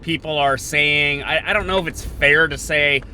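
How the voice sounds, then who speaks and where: A man hosting a podcast, thinking out loud in a sardonic, opinionated political monologue that moves between halting pauses and bursts. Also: fairly high pitch, steady tone, a little hiss or hum. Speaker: podcast host.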